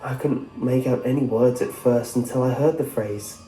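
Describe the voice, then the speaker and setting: A man reading a scripted first-person horror story in a measured storytelling way. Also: medium pitch, steady tone, mellow. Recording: roomy sound with music behind. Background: background music.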